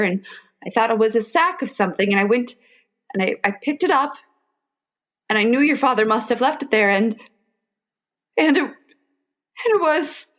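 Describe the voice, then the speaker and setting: Radio comedy mom: actress as high-voiced, flustered, melodramatic matriarch, endlessly put upon by her family. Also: lightly acted. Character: fretful, long-suffering, melodramatic older mother of grown sons. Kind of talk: comedic radio play acting.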